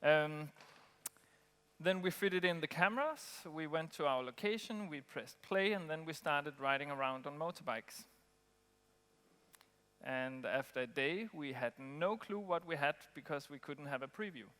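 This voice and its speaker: A man from Denmark, giving a relaxed conference talk with frequent ums and pauses. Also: on the high side. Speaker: conference speaker. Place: conference hall. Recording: long hall reverb.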